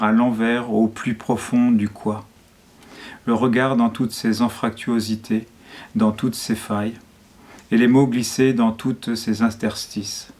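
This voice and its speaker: A man reads poetry aloud at a medium pitch. His delivery is solemn and measured, set out in short phrases, with very little rise or fall in the melody. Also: a little mellow. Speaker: poet reading a poem. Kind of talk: poetry reading.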